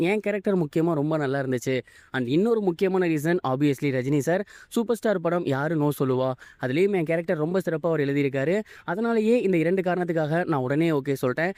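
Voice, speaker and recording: Male online news presenter, soft-edged tone, brisk scripted delivery. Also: fairly high pitch, dry recording, studio quality. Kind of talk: scripted celebrity news narration.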